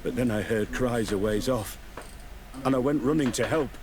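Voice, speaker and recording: A man's voice: mid-range voice, moderate pace, noisy background, lightly acted. An actor playing an anxious, evasive guard in a video game, stammering and deferential as he reports to his superiors. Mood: nervous, explanatory. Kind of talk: video game dialogue acting.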